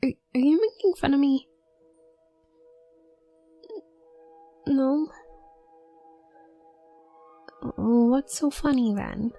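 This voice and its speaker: Woman acting a shy, stammering, slow-spoken young witch. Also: high-pitched, dark tone, heavily acted. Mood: confused, hesitant. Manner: heavy nervous stammer, halting and hesitant. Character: shy, awkward, flustered young witch classmate, female college student. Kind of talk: roleplay audio drama addressed to the listener.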